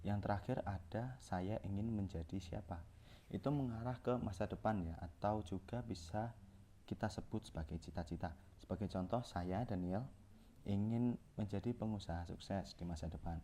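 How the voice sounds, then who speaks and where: A young man with a low, mellow voice reads through a course presentation. He keeps tripping over words and restarting them as he goes. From Central Java, Indonesia. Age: young adult. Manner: reads from notes with frequent self-corrections and restarts. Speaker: communication student presenting.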